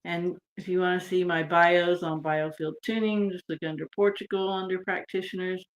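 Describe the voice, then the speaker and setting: A woman from Texas speaks as a podcast guest, her voice sitting on the low side. She talks in a relaxed, meandering way, trailing off and filling gaps with ums. She sounds like she is on a video call. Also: normal speed, low-quality recording. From United States (Texas). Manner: relaxed and rambling, trailing off with fillers. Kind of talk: casual interview chat.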